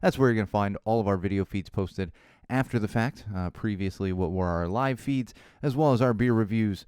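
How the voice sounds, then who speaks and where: A man in his thirties from Southern California, hosting a casual solo podcast, a bit hoarse, rambling and self-deprecating, with lots of fillers and restarts. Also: fairly low pitch, a little fast, darkish tone, dry recording, clean studio sound. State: slightly hoarse. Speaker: podcast host.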